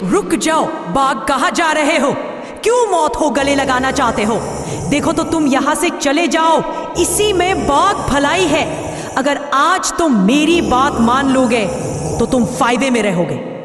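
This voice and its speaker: A woman's voice: high voice, animated, a little slow. A woman voicing an anxious, protective mother elephant in an animal cartoon, pleading and fretful. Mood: warning. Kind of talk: Hindi animal cartoon voice acting. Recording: distant, echoey reverb under music.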